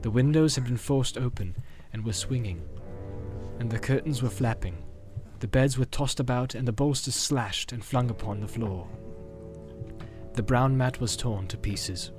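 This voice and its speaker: A man's voice: medium pitch, moderate pace, a little mellow. Male audiobook narrator over music. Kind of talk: dramatic scripted narration.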